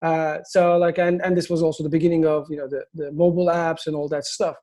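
Turkish-accented male founder, mellow tone, halting speech. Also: on the high side, little pitch movement. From Turkey. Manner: halting, many fillers and restarts. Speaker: agency founder, guest. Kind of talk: interview answers.

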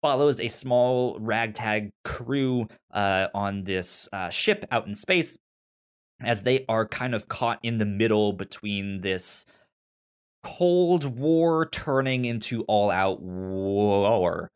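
A man co-hosting a podcast in casual chat, with a halting, informal delivery and a pronounced stutter that repeats sounds and syllables. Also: on the low side, expressive, clean tone. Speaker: podcast co-host. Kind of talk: casual chat recommending and discussing TV shows.

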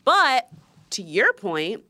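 A woman's voice: medium pitch, drawn-out words. A woman with a young-sounding voice co-hosting a podcast, telling stories in a playful, mock-indignant way. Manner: animated storyteller, playfully indignant. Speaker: podcast co-host. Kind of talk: casual chat.

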